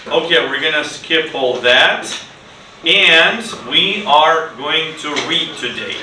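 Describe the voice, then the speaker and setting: Male classroom teacher, middle-aged, fairly high pitch, simple instructive delivery, recorded from far off with echo. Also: expressive, pushed and bright. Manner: deliberate, simple phrasing with repeated prompts and praise. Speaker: English teacher. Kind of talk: interactive classroom teaching to children. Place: classroom, indoors. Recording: echoey, noisy classroom from far off.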